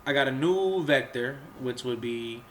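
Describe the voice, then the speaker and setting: A man who is under the weather talks through a video game in a loose, rambling way, coughing and clearing his throat between phrases. His voice has an average pitch and sounds a little off from his cold. A faint hiss of noise sits under the recording. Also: animated, measured pace, fairly bright tone. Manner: rambling, with many fillers and restarts. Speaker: gaming channel host. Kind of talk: casual gameplay walkthrough commentary.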